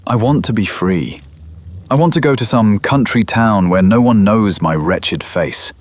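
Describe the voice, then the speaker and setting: Man acting low, mocking Roman schemer. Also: measured pace, no room sound, close to an everyday voice. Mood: sardonic. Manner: mocking, theatrical delivery. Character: cynical, cruel, scheming Roman patrician man. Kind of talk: audio drama acting.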